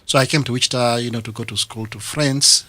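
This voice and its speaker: A man from Kenya with a Kenyan accent, a guest on a radio interview, speaking with many pauses and fillers. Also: mid-range voice, fairly even intonation, bright, forceful tone. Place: radio studio.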